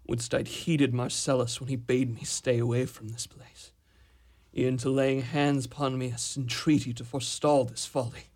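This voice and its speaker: An actor playing a reluctant, incredulous young prince in a Shakespearean radio drama, with wordy, theatrical delivery. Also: male voice, mid-range voice, flat delivery, measured pace, darkish tone, natural voice. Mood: anxious, brooding.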